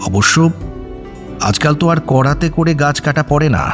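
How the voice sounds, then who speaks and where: A man narrating a scripted radio story in an expressive, dramatic manner. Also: fairly high pitch, normal speed, soft background music.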